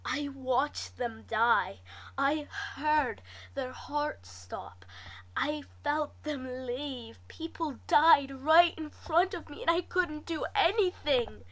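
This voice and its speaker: Woman voicing a high, anguished orphan girl. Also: slow pace, a bit bright. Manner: earnest, anguished, higher than the narration. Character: haunted orphan girl, wounded, confiding, guilt-ridden.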